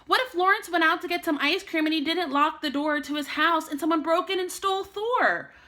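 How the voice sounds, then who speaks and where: A woman teacher reading a children's storybook aloud in an expressive way meant for young listeners. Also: extremely high pitch, brisk.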